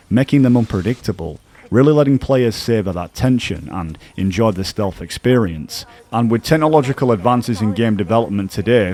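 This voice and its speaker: A man narrating a scripted video essay about games as a YouTube commentator, explaining in an earnest, measured way. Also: fairly low pitch, darkish tone.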